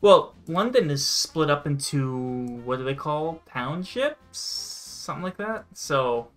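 Young guy w a slightly high voice, casual co-host game talk, faint music behind. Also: expressive, slow pace, fairly bright tone. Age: young adult. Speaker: co-host giving hints to the player. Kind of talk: casual gameplay chat. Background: music in the background.

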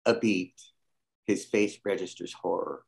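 A man's voice: sits low, measured pace, gravelly. A man reading stage directions as scripted narration for a drama.

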